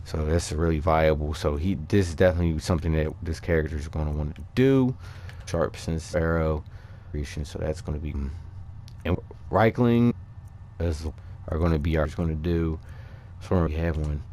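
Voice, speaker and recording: A man with a low voice delivers loose, unscripted gaming commentary. He thinks out loud, halting and picking up again as he explains things to his viewers. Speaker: gaming video creator. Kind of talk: casual unscripted game commentary.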